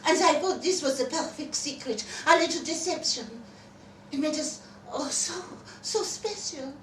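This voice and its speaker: A woman's voice: high-pitched, normal speed, a bit bright, pitched above the performer's own voice. A woman voicing a wry, dramatic French wife confessing secrets to her lover in a live story reading. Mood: tender, wistful. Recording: roomy, distant festival mic with background noise.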